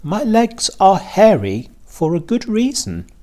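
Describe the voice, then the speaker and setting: A man plays a clever lady spider in a children's story. She is patient and wry, enunciating deliberately at a slow pace with a lively rise and fall. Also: high voice, pitched above the performer's own voice, full character voice. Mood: precise, matter-of-fact. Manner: precise, deliberate, instructive.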